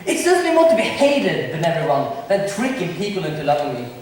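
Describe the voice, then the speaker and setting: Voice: medium pitch, huge pitch swings, a little fast, darkish tone. An amateur stage performer playing a gloomy, resentful villain, the brother of a prince, with bitter, declamatory delivery. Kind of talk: amateur stage acting of modernized Shakespeare. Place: large echoey room, school drama performance. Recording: distant, very echoey hall, faint noise.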